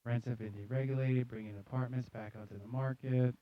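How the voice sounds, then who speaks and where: A man's voice: medium pitch, steady tone, a little fast, no room sound, dull audio. A man hosting a live news show, casually reading articles aloud with jokey, slangy, sardonic commentary.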